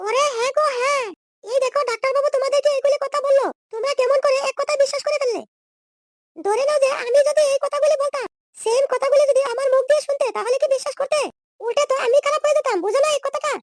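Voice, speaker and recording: A man's voice: very high voice, fairly even intonation, fast talker. A man voicing a brash, scolding, scheming young woman in a comedy cartoon. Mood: accusing. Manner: scolding, threatening, slangy insults.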